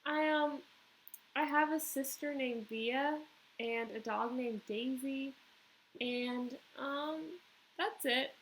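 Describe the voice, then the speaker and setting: Storybook boy role, read by a woman: young, shy, hesitant, reluctant, slightly raised pitch, noisy recording. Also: slow, fairly bright tone, heavily acted. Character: shy, mumbling young schoolboy, anxious and reluctant. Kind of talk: children's book read-aloud.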